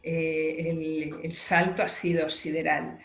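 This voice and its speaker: A woman's voice: sits low, level tone, takes it slowly, roomy sound. A middle-aged woman from Spain, a political scientist explaining her views fluently as an interview guest. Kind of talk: radio interview.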